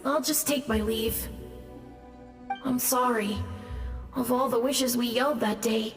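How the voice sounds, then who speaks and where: A woman voicing a slow, wistful ghost in a reverberant hall. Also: on the high side, fairly even intonation, faint music behind, natural voice.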